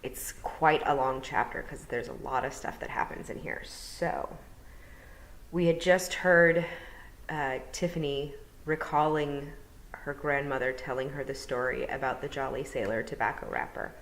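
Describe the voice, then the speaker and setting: A woman's voice: a bass voice, fairly even intonation, a little slow, slight background noise. A woman, a teacher, reading a story aloud and narrating for storytime. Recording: roomy, reverberant indoor sound.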